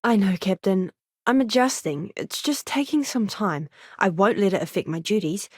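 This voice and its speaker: A woman voicing an earnest, eager young ship's doctor in a video game, with a polite, expressive manner. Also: mid-range voice, normal speed, husky, no echo, studio quality. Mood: apologetic, hesitant. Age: young adult. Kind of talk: game character voice lines.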